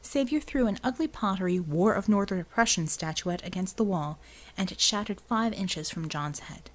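A woman's voice: medium pitch, animated, moderate pace. A woman reading a fiction audiobook as scripted narration.

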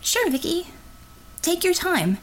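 A wry, kind young healer, as a woman reader voices her, with a light, teasing manner. Her voice is lifted a little above the narration, with lively pitch. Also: slow pace, full character voice. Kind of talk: audiobook character reading.

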